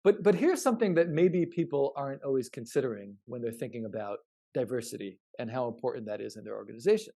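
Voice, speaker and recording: A man explaining his research in podcast conversation, halting and repetitive, often stressing points and checking in. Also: mid-range voice, animated, creaky, warm tone.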